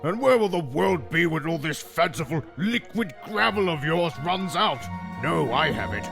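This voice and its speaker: A high, creaky, ancient tycoon declaims over loud music. He is pompous, foolish and cantankerous, swooping through every line of this comic dub. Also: expressive, normal speed. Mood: foolishly smug. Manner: pompous, melodramatic, doddering.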